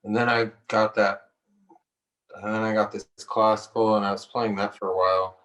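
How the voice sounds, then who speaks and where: A man's voice: level tone, normal speed, a bit bright, echoey room, far from the mic, poor audio. A man who is a singer-songwriter, talking in a hesitant, laid-back way with frequent laughs during a video-call interview. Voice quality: low voice. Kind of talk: relaxed conversational interview.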